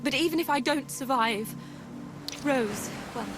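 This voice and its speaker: An anxious young mystic pleads earnestly in a high voice. Worry makes her words tremble. Clear music plays under her. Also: a little slow. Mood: desperate. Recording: game cutscene with music.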